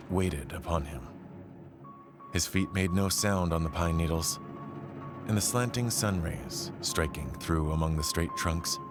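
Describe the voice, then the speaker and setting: A man gives a measured, solemn, literary narration of a scripted horror tale. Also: sits low. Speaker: storyteller narrating an audio play. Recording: music behind the voice. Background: background music.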